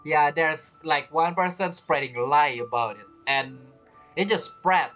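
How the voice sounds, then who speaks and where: A video game streamer giving casual commentary in halting, non-native English phrasing. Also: medium pitch, fairly bright tone. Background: game music.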